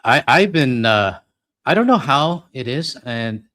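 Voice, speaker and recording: A man's voice: mid-range voice, sing-song, unhurried, sharp tone. A middle-aged Canadian man with a Canadian accent chatting casually on a live stream, rambling and self-deprecating with lots of fillers. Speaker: co-host reading donations.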